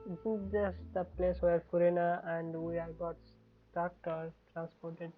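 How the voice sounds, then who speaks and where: A woman game streamer giving casual live commentary in short, halting reactions. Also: lowish voice, completely flat delivery. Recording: dull stream audio under loud game music.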